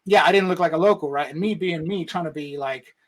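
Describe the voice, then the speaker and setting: A man's voice: fairly high pitch, fast talker. A man from Egypt telling a personal story in a casual interview, rambling and swearing, with frequent "right?" tags. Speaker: podcast guest.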